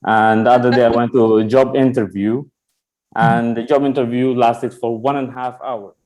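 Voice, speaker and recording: Male comic from Sudan, non-native English, halting, pause-filled delivery. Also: medium pitch, steady tone, a little mellow, low-quality recording. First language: not English. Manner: halting, many "uh" pauses, searching for words. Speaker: stand-up comedian. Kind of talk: stand-up set.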